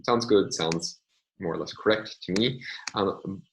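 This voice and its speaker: A man lecturing in a measured way, often pausing with ums and uhs as he explains. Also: low-pitched, vocal fry, slight background noise, low-quality recording. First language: English. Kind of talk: recorded online lecture.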